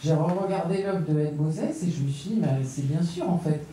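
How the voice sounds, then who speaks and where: A woman's voice: deep voice, moderate pace, warm tone, a little hiss or hum. Hesitant woman, far off in an echoey room. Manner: hesitant, many fillers and restarts. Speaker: panelist. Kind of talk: round-table discussion. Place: gallery room.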